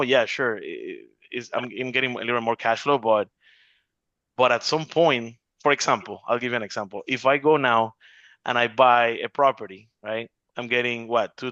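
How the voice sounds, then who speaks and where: A man's voice: mid-range voice, a bit bright, dry recording, lo-fi sound. A man in his forties chatting on a video call about investing, rambling with many restarts and fillers. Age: 40s. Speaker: real estate investor in an accountability group. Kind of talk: casual video call chat.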